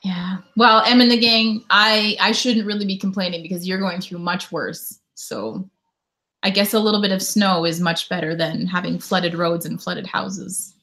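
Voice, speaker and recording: A woman's voice: fairly low pitch, normal speed, sharp tone. A woman from Canada in her thirties, hosting a casual live stream from home and chatting with viewers as she reads out their comments. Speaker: YouTube host.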